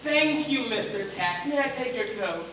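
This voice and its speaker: A washed-up older Broadway producer, high-pitched and full of impatient bluster. He wheedles and schemes in broad stage-comedy style. The recording is very echoey, picked up from far off, with clear noise. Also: lively intonation, moderate pace. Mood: dry, dismissive. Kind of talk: broad comic stage acting. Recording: very echoey theatre, distant mic, noisy.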